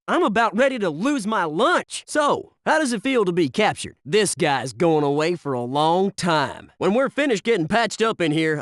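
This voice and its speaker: A man's voice: sits high, a bit bright, no room sound, studio quality. A man voicing a cocky, wisecracking American special forces soldier for a video game, with slangy military banter and an American accent. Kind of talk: scripted video game voice lines.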